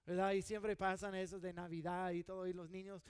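High-voiced man preaching earnestly. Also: level tone, fairly quick, smooth, dry recording, clean studio sound. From California. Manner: earnest, conversational, with frequent restarts and fillers. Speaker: pastor. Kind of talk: sermon.

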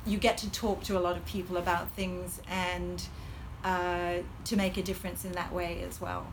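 A woman's voice: fairly low pitch, flat delivery, moderate pace, background noise. A middle-aged woman surgeon with a Hong Kong colonial English accent, talking as a podcast guest with many ums and frequent laughter. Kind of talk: podcast interview.

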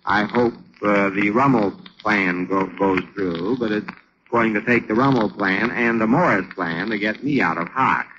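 A man playing an exasperated, sardonic but patient American businessman husband in a 1940s radio comedy, talking in a dry, halting way. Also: lowish voice, fairly bright tone. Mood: halting, dictating. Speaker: comedy actor. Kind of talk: 1940s radio sitcom acting. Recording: dull, crackly old radio recording.

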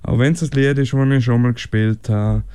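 Clear, dry-sounding man co-hosting radio. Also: mid-range voice, fairly even intonation, moderate pace, mellow, no echo. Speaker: music radio show co-host. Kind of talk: casual studio chat between records. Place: studio.

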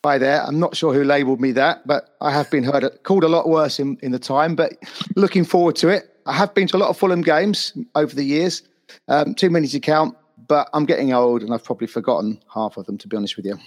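A man's voice: on the high side, fast. A man, a quiz contestant introducing himself in a modest, self-deprecating way.

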